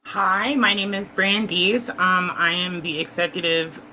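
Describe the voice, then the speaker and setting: A woman's voice: on the low side, measured pace, sharp tone, poor audio. A woman from Atlanta with a Southern accent, chatting as a guest, joking and full of ums. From Atlanta, Georgia. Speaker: executive assistant. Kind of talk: casual chat.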